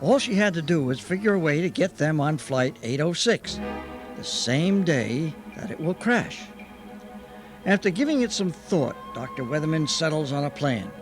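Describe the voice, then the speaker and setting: A man's voice: fairly high pitch, lively intonation, moderate pace, over music. A man giving scripted narration as the narrator of an old-time radio drama.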